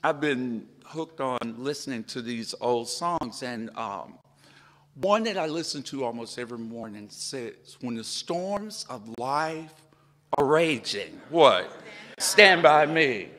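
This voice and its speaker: Man, 50s, workshop presenter, unhurried and reflective, reverberant hall. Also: medium pitch, lively intonation, fairly bright tone. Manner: reflective, quotes old hymn lines in a sing-song way. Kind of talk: informal talk to an audience.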